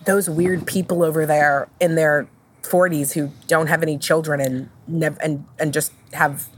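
A woman hosting a casual group discussion, thinking aloud in a halting way and repeating phrases as she reasons. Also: sits low, steady tone, slight background noise. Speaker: podcast host.

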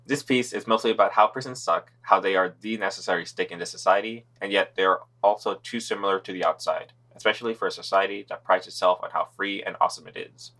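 A man with a very low, gravelly voice co-hosts a podcast news roundup. He reads the summaries and quotes in a laid-back, sardonic way. Vocal fry runs through his delivery. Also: fast talker, few pauses, pushed and bright. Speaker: podcast co-host reading news.

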